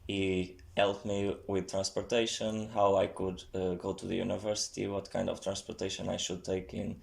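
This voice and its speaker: A man speaking English as an as a podcast guest, hesitant with many pauses. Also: sits low, monotone, vocal fry, darkish tone. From Portugal. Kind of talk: casual chat.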